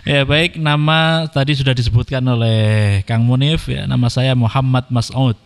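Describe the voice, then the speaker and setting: A man talks politely and at an even pace as a podcast guest. His voice sits in a middle pitch and carries a long, echoing reverb from the room. He fills his pauses with "eh" and "ya.". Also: smooth. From Indonesia. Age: 30s. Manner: measured and polite, frequent "eh" and "ya" fillers. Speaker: podcast guest, Quran reciter. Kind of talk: casual podcast conversation.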